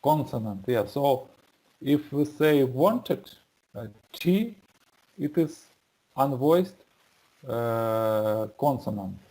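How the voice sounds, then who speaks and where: An English learner with a non-native accent, speaking haltingly in a lesson and repeating words to practise. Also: mid-range voice, expressive, dark tone, rough recording. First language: not English.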